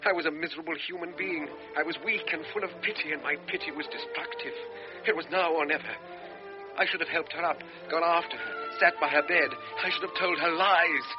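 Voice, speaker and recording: An actor playing a guilt-ridden young lieutenant in an old radio drama, tense and confessional. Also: male voice, on the high side, a little fast, music in the background, natural voice. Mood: anguished. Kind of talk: 1960s radio drama acting. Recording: dull lo-fi radio broadcast.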